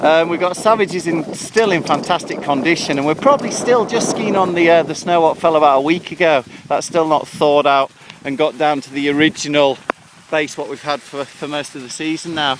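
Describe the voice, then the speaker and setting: A man's voice: a little high, a little fast. A middle-aged man giving an upbeat, casual snow report to camera on an outdoor ski slope, talking loosely with plenty of fillers. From England. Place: open snowy hillside outdoors. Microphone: action camera on the helmet or held close. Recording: wind and outdoor noise on a small camera.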